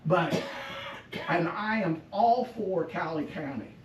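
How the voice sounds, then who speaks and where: An older man with a loud voice speaks at a public school board meeting, slow and emphatic, repeating his points. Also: a little high, animated. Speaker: former school district employee speaking at a board meet and greet. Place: school district office lobby at a public meeting. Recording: roomy echo, distant mic, faint background noise.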